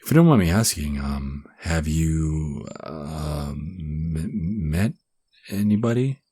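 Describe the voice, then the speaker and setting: Man acting shy young guy, deep husky voice. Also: lively intonation, takes it slowly, dark tone, exaggerated voice. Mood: tender, nervous. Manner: hesitant, stammering, breathy sighs and chuckles. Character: shy, flustered young man in love with best friend. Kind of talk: ASMR boyfriend roleplay acting. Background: crickets chirping.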